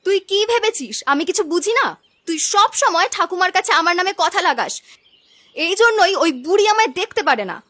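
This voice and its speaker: Woman as a shrill, insolent young village girl. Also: expressive, fairly quick, heavily acted. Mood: resentful. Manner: sharp-tongued, sneering. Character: spoiled, insolent, ambitious young village granddaughter. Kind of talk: animated folk tale acting.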